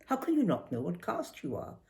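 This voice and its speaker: An older woman voicing a confident, forthright young Indian schoolgirl in a memoir reading, brisk and emphatic. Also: lowish voice, huge pitch swings, darkish tone, voice pushed up. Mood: incredulous.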